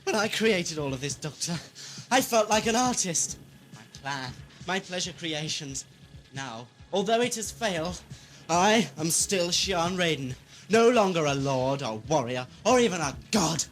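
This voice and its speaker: A theatrical, swooping high-voiced villain lord. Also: male voice, expressive, unhurried, fairly bright tone, faint music behind, rough recording. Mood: grandiose. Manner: grandiose, theatrical speechifying. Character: megalomaniac deposed lord villain, gloating and vengeful. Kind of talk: amateur sci-fi audio drama acting.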